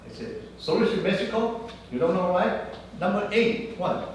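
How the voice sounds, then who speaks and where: Non-native English man, guest speaker, animated storyteller, slightly high voice, cavernous room, distant mic. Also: normal speed. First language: not English. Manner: animated storyteller acting out dialogue. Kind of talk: anecdotal business talk. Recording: echoey room, mic far off, faint noise.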